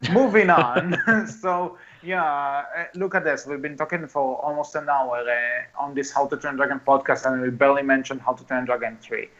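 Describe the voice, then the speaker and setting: A man's voice: medium pitch, fairly even intonation, normal speed, hardly any pauses, a bit bright, muffled recording. A man co-hosting a casual movie podcast, chatting in an exclamatory way. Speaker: podcast host.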